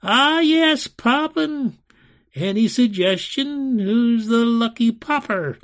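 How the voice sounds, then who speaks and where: A man's voice: extremely high pitch, expressive, takes it slowly, a bit bright, voice pushed up, heavily acted. A man voicing a raging, sinister fire wizard in a storybook, commanding and gloating as he shrieks. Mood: gleeful menace.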